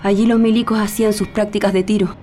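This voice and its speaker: A woman's voice: medium pitch, little pitch movement, quick pace, warm tone, lightly acted. Actress as a grave, sorrowful woman remembering. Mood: sorrowful, insistent. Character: sorrowful woman insistently recalling atrocities against prisoners. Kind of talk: radio drama acting, reminiscence. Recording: faint music under the voice.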